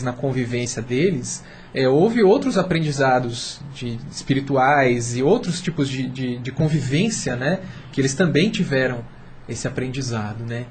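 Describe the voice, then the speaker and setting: A man's voice: mid-range voice, a little hiss or hum. A man with a Brazilian accent offering unscripted reflections in a discussion.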